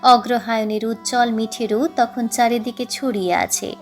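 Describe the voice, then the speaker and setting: A high-pitched woman reads a literary tale aloud. Her delivery is measured and dramatic, like an audiobook storyteller, with music behind her. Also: steady tone. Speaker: audiobook narrator. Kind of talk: dramatic scripted story narration.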